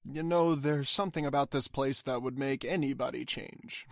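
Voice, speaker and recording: A man's voice: medium pitch, steady tone, normal speed, vocal fry, no room sound, studio quality, natural voice. A man playing an uneasy, grumbling husband in an old-time radio drama, conversational and hesitant. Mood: wry. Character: uneasy, grumbling husband in a grand cold house.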